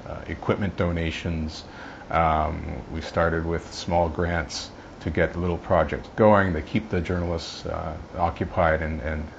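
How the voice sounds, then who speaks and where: Male interviewee, deep voice, careful halting delivery, slightly muffled field recording. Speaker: media aid worker being interviewed. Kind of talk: interview answer. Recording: slightly dull sound, faint background noise.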